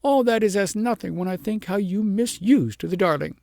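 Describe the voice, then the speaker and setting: A storybook reader voicing a grief-stricken queen who wails in high, drawn-out cries. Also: a little mellow, no echo, clean studio sound, natural voice. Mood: accusing. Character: shrieking grief-stricken queen, hysterical mother.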